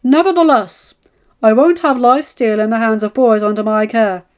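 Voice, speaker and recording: A stern old master-at-arms in a fantasy storybook, put on by a woman narrator. The delivery is firm, formal and raised in pitch, on a slightly muffled recording. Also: fairly even intonation, moderate pace, clear, resonant tone, lightly acted.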